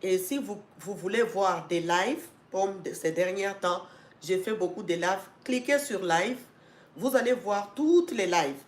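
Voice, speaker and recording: A woman's voice: mid-range voice, a little slow. Congested woman host, live-stream talk to viewers, filler-heavy, urgent at times, echoey room. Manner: talks with many fillers and restarts, grows emphatic and urging. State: congested with a cold. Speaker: host of an educational channel.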